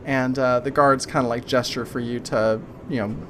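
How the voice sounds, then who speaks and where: A man narrating a tabletop game as game master in a halting, conversational improvised way. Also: mid-range voice, steady tone, fairly bright tone, a little hiss or hum.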